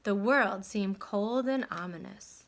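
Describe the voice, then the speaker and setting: A woman reading a children's story aloud in an expressive, dramatic way with deliberate phrasing. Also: medium pitch, a little hiss or hum. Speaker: storybook reader.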